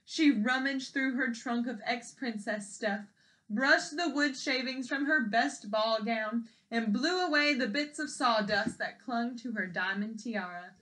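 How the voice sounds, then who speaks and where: A woman's voice: a little high, unhurried, sharp tone, low-quality recording. A woman reading a children's story aloud expressively, explaining and posing questions as if to young listeners.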